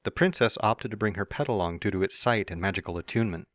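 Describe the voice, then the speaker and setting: A man narrates a storybook tale in a very low, deep voice, slipping into a cocky, teasing tone for the hero's own lines. The sound is close and dry, with no room around it. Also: fast, fairly bright tone, dry recording. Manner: first-person narration with playful smug asides. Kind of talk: fan dramatic reading of a story.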